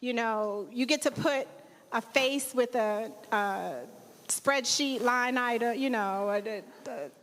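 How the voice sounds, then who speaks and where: A woman's voice: on the high side, slow, a bit bright. A woman hosting a live event in a theater, chatting informally and playfully with the audience. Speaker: event host. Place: theater stage. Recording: live hall with long reverb.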